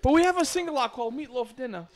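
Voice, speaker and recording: A man chatting on a podcast, hesitant and halting, with lots of filler sounds. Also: very high-pitched, animated, crowd noise. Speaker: studio guest. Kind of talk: casual chat.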